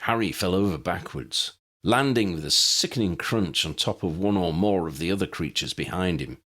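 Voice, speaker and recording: A man reading a story as a dramatic, expressive audiobook narrator. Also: low-pitched.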